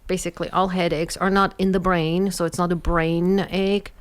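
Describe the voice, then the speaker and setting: A woman, a guest researcher calmly explaining health topics on a radio talk show. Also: lowish voice, moderate pace. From Germany. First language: German. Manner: calm, explains science plainly. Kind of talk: explanatory conversation.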